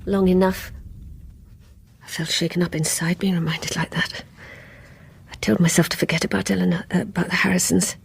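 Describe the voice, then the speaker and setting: An actress playing a guarded, troubled middle-aged English woman, telling her story haltingly and reflectively in a radio drama. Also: fairly low pitch, level tone, gravelly, slightly rough, full character voice. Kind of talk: first-person radio drama narration.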